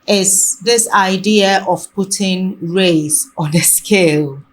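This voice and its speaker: A woman talking reflectively in conversation, haltingly and often rephrasing mid-sentence. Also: medium pitch, a little hiss or hum. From Ghana.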